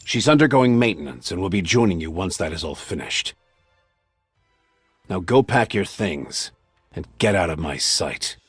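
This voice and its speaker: A man playing a stern, domineering older military commander in a dramatic video game, gruff, scornful and commanding. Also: low voice, animated, normal speed, no echo, soft background music. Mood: cold, scornful anger.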